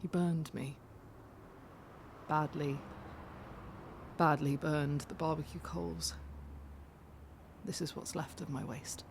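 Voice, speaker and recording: An actress playing a candid, vulnerable young woman taxi passenger in an audio drama, confiding in a halting, self-deprecating way. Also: low voice, fairly even intonation, vocal fry, a little hiss or hum, heavily acted. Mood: pained, intense.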